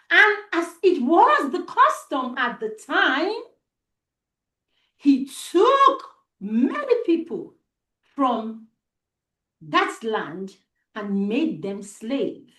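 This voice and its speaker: A woman with a Nigerian accent telling a story live to children, deliberate and expressive, with dramatic pauses and repetition, as host. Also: high voice. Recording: roomy, echoey sound.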